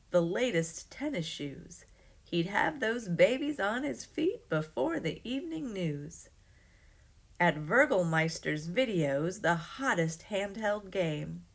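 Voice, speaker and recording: A woman reading a rhyming children's story aloud in an expressive, dramatic way. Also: lowish voice, gravelly.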